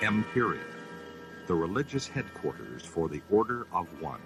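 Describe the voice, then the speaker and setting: An actor as the solemn, portentous narrator of a sci-fi audio drama, reading dramatic scripted narration. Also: male voice, deep voice, big pitch swings, normal speed, loud music behind the voice. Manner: grand, portentous. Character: solemn epic narrator of a space saga.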